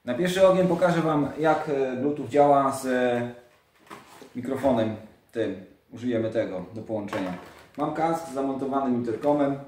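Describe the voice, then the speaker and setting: Echoey, mellow-voiced man demonstrating gear. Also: medium pitch, slight background noise. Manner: informal, step-by-step, halting with small interjections. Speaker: moto vlogger presenting a gear setup guide. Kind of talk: casual hands-on tutorial. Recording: echoey, roomy indoor sound.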